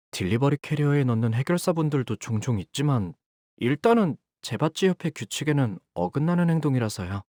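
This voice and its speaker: Man playing an expressive, anxious young male fixer. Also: mid-range voice, no room sound, studio quality, natural voice. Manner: polite, soft-spoken, flustered under pressure. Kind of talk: expressive game character voice lines.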